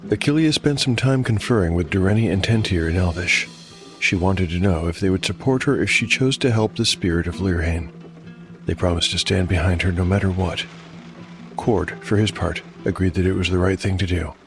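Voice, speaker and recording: A man narrating a solo tabletop fantasy game as game master, in a measured, reflective, scripted manner. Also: low voice, faint music behind.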